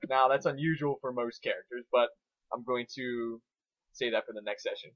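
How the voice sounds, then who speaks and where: A man's voice: medium pitch, fairly even intonation, normal speed, a bit bright. Male co-host, casual and opinionated reviewer, rambling and foul-mouthed, on a dull lo-fi recording. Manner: rambling, crude swearing. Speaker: co-host reviewing fan fiction.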